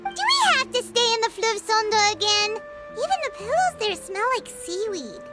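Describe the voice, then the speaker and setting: A woman voicing a small, excitable, childlike fairy companion in a video game, squeaky and whiny. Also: moderate pace. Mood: grumbling. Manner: refers to self in third person, whiny. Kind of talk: video game character acting. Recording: music behind the voice.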